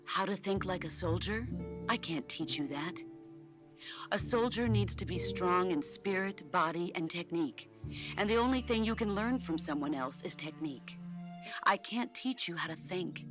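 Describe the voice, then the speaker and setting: An actress playing a stern, composed veteran soldier and mentor in a video game, speaking with measured authority. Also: female voice, on the low side, animated, natural voice. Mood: firm, grave. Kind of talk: dramatic video game voice acting. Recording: clear music behind.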